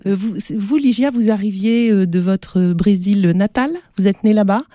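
A woman hosting a radio interview, chatty and quick to react. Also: mid-range voice, dark tone, dry recording. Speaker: radio host. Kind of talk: radio interview and scripted intro.

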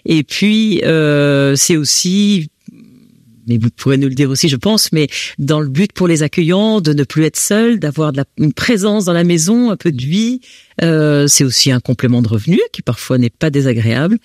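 A woman's voice: sits low, expressive, normal speed, darkish tone, no room sound, clean studio sound. A woman with a French accent answers interview questions as founder of a housing association, explaining with frequent restarts. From France.

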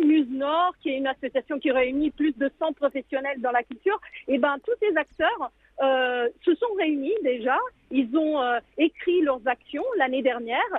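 A woman's voice: high-pitched, slight background noise. Woman on a tinny phone line. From Italy. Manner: hesitant, many fillers and restarts. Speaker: museum director, guest. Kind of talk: live radio interview answers.

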